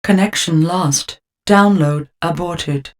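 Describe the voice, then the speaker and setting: Poised, measured female car computer. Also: on the low side. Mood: cool, measured. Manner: measured, automated announcements.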